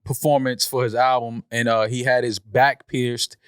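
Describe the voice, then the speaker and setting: A man's voice: mid-range voice, little pitch movement, normal speed, clear, resonant tone, dry recording, studio quality. American man chatting casually on a podcast. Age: 30s or 40s. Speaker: podcast host.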